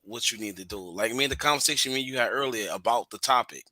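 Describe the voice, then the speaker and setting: A man's voice: mid-range voice, fast, bright, forceful tone, dry recording. A man chatting on a podcast in a laid-back, slangy way and swearing casually.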